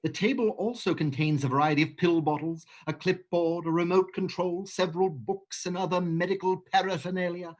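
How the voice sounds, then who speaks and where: A man's voice: sits high, a little fast, hardly any pauses. Man reading stage directions steadily. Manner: steady, matter-of-fact reading. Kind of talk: livestream script reading.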